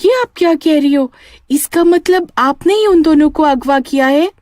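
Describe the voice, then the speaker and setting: A woman's voice: sits high, normal speed, a little mellow, no room sound, clean studio sound, voice pushed up, close to an everyday voice. A woman voicing an innocent, curious village child in a cartoon story, with an earnest manner.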